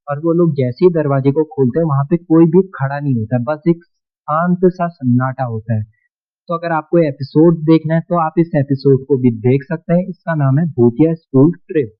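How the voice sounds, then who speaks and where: Muffled, higher-voiced Indian man narrating. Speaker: video host. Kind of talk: casual scripted YouTube countdown narration. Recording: muffled, narrow-band sound.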